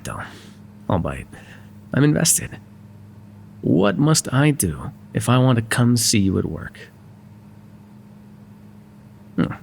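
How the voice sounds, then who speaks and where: A man's voice: on the low side, creaky, a little hiss or hum, heavily acted. A man playing a wry, courteous but exasperated mafia boss in an ASMR roleplay, sardonic and conversational in manner. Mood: amused, flirtatious.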